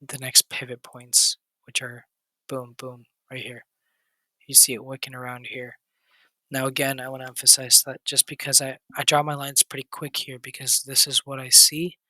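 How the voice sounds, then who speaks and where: A man with a raspy, hoarse voice, going over stock charts in a quick, casual walkthrough with fillers and restarts. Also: medium pitch, bright, forceful tone, no echo, poor audio. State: hoarse, recovering voice. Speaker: stock trading channel host.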